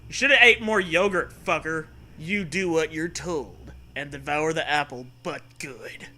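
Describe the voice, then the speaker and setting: A man narrates a comic horror story as co-host, mixing mock-serious reading with crude chatter. His voice is fairly high, very sharp and slowly paced, with faint background noise. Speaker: co-host, reader.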